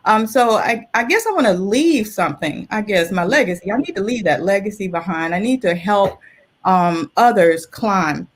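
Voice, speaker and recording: A woman's voice: medium pitch, animated, normal speed. An older woman, over sixty, speaking as an interview guest in an earnest, conversational way, repeating phrases for emphasis. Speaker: illustrator and artist, interview guest. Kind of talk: casual interview chat.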